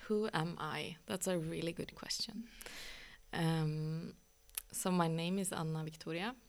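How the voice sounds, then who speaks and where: Gravelly-voiced Swedish woman, hesitant. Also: sits low, fairly even intonation. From northern Sweden. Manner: hesitant, many ums, thoughtful pauses. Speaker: yoga teacher, guest. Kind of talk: reflective casual conversation.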